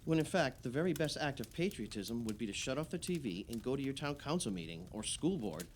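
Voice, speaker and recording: An actor playing an earnest, outspoken and supportive friend in an audio play, talking in a blunt, chummy, naturalistic way. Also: male voice, medium pitch, very animated, brisk, a little hiss or hum, close to an everyday voice. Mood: indignant.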